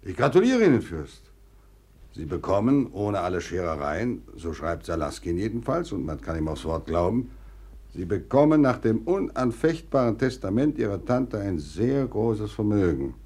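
An actor in a 1950s German radio drama playing a worldly, self-assured older gentleman, fatherly and emphatic in his declamatory delivery. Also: lowish voice, sing-song, moderate pace. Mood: impressed, congratulating.

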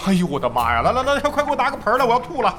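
Theatrical, wry veteran gamer, lively delivery. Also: male voice, very high-pitched, very fast, slight background noise, exaggerated voice. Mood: mock anguish. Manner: theatrical, teasing, exclamatory. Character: wry, theatrical veteran esports team member, joking man. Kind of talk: Chinese radio drama acting.

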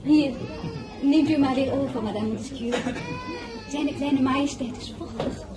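An actress playing a dignified, quietly defiant French princess and mother in a historical radio drama, measured and often dropping to near whisper. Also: high voice. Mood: tender, wistful. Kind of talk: Dutch-language historical radio drama acting.

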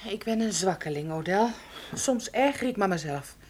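A woman's voice: medium pitch, animated, moderate pace, a little mellow, lightly acted. An actress playing a detective's anxious, candid female companion in radio play dialogue. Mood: reproachful. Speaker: radio drama actor.

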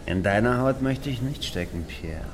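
Actor as low, needling small-town gang buddy. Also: male voice, expressive, moderate pace, noisy background, close to an everyday voice. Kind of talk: colloquial radio crime drama dialogue.

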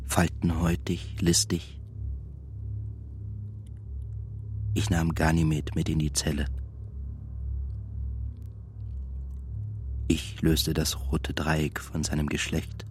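An actor playing a tormented, melancholy man in a radio play monologue, brooding and deliberate, at times nearly whispering. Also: rumbling low, monotone, darkish tone, slight background noise. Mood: wistful, sad. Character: tormented, melancholy man confessing desire and self-loathing. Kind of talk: literary first-person monologue in radio play.

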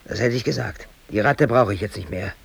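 A man's voice: on the low side, a little fast, creaky, breathy edge, exaggerated voice. An actor playing a brooding, aggrieved bakery worker in his thirties, telling his story colloquially and intensely in a radio drama. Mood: tense recounting. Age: 30s. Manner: colloquial, emotionally intense, self-justifying. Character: brooding, aggrieved East German bakery worker under arrest. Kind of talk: radio drama monologue, confessional narration.

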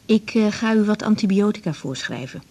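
A woman's voice: medium pitch, animated, a little fast, dark tone. An actress in a radio drama playing a young female doctor who is tactful, reserved and patient. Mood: matter-of-fact. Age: young adult. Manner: careful, tactful, a little hesitant. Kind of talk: 1980s Dutch radio drama acting.

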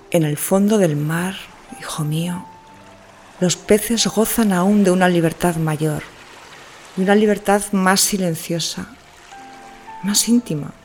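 Slow, theatrical mother in a literary monologue acted by a woman. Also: lowish voice. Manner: slow, theatrical, hushed and intimate. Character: Mrs. Caldwell, obsessive grieving mother addressing her son. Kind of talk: dramatic literary monologue, audio fiction.

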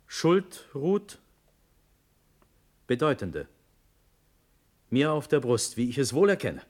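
A condemned young prince and officer in a classical radio drama. He speaks slowly and solemnly, with a husky voice. His declamation ranges widely, from near-murmur to ringing pride. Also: mid-range voice. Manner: solemn, declamatory, swinging from hushed to forceful. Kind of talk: classical German verse radio drama acting.

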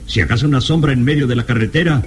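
An actor playing a skeptical, blunt, easily startled professor in a radio drama. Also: male voice, mid-range voice, racing, music in the background, natural voice. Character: skeptical male professor, startled travelling companion.

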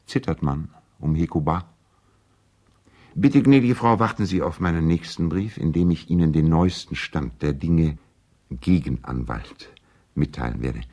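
Deep, mellow, courteous lawyer. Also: male voice, expressive, heavily acted. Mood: earnest, hopeful. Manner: formal, measured, polite. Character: courteous, conciliatory male lawyer and family friend. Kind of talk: 1970s German radio play, letter monologue.

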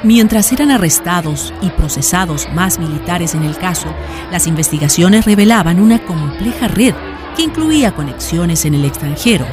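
Animated low-voiced Chilean woman narrator. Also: normal speed, over music. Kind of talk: scripted dramatic narration for historical radio drama.

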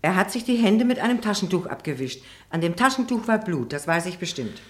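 Anxious female witness, very low voice, hesitant and deferential, radio courtroom drama. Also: very animated, a little fast. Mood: earnest. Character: timid, anxious woman witness testifying in court.

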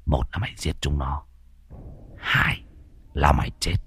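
A man voicing a cruel old sorcerer who speaks in a low, hushed, threatening way. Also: a little slow, breathy edge, full character voice. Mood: menacing. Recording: faint music behind.

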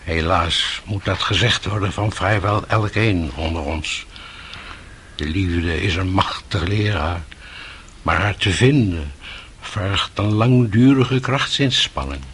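An actor playing a grave, kindly old holy monk in a radio play, speaking in a solemn, measured manner. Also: male voice, deep voice, a bit bright, a little hiss or hum.

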